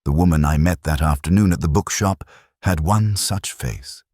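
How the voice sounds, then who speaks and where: A man giving a measured, deliberate first-person reading of a literary detective story, as its narrator. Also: rumbling low, a little mellow, dry recording, studio quality.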